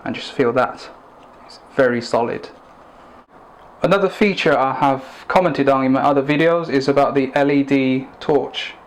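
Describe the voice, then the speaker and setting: A man giving a product review and demonstration in a measured, plain-spoken, instructive way. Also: medium pitch.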